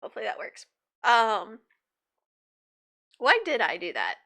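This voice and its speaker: A woman's voice: on the high side, big pitch swings, measured pace, sharp tone. A young woman streaming a game while sick, sniffling, chatty and upbeat, thinking aloud and laughing often. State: sick with a stuffy nose, sniffling. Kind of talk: casual gaming livestream chat.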